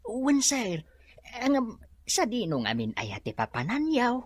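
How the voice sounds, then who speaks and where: Worried, pleading employee, Ilocano radio drama; unhurried, swooping intonation, close dry sound. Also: female voice, a little high, huge pitch swings, no room sound, full character voice. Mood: nervous. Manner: anxious, pleading, reproachful. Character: worried subordinate pleading with the villainous boss. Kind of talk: Filipino radio drama acting.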